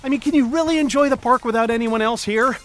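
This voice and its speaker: An actor playing an excitable, chatty, mild-mannered man stranded alone, thinking aloud theatrically in an audio drama. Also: extremely high pitch, fast talker. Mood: nervous. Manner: theatrical, animated, self-talking.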